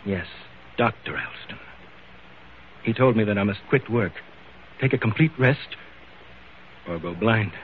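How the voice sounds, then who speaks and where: An actor playing an earnest businessman husband in an old-time radio drama, speaking in a measured, probing and hesitant way. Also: fairly low pitch, close to an everyday voice. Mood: earnest, pleading. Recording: tinny, noisy old radio recording.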